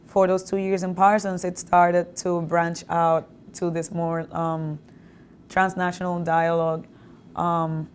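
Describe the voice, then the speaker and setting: A young adult woman from the Republic, speaking as an artist in an interview, thoughtful and hesitant with many pauses. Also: fairly low pitch, a little hiss or hum. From Dominican Republic. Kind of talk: conversational interview. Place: university art gallery.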